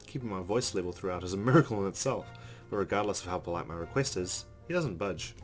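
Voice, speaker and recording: Male gamer reading visual novel text aloud; somewhat low pitch, background music. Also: lively intonation, fast. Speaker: let's play host. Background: game music.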